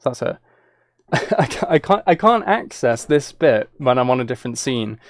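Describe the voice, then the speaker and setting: Casual male streamer with creaky voice. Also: medium pitch, sing-song, normal speed, no echo. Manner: rambling, thinking aloud. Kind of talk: casual livestream chat.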